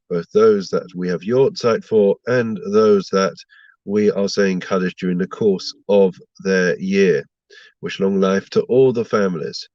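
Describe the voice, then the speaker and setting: A man's voice: on the low side, darkish tone, no room sound, highs cut off. A man giving an online Torah lesson to viewers, sounding stuffed up from a slight cold and teaching through rhetorical questions. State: blocked up with a cold. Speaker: rabbi giving a Torah class.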